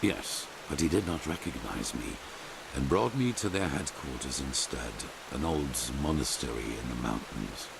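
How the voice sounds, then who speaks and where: An actor playing a grave old grandmaster of a holy order in a fantasy game, speaking in a solemn, formal, measured storytelling manner. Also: male voice, rumbling low, animated, creaky, background noise, exaggerated voice. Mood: solemn, haunted. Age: older. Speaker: video game character. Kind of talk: dramatic fantasy game dialogue.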